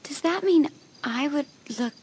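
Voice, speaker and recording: A woman's voice: sits high, lively intonation, a little slow, a little mellow. Woman voicing a nervous teenage girl. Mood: wary. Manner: nervous and wary. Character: anxious fifteen-year-old girl.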